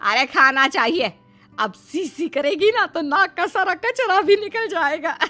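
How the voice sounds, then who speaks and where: A woman's voice: very high-pitched, lively intonation, a little slow, soft background music, voice pushed up, full character voice. Woman acting a bossy, effusive Indian mother-in-law. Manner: loud, effusive, ordering her daughter-in-law about. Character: bossy, lavishly generous middle-aged Indian mother-in-law.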